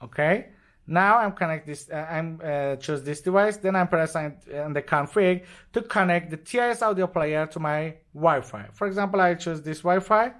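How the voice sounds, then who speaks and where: A man with non-native, halting English walks step by step through an unscripted product demonstration. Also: a little high, fairly bright tone. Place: indoors at a desk with devices.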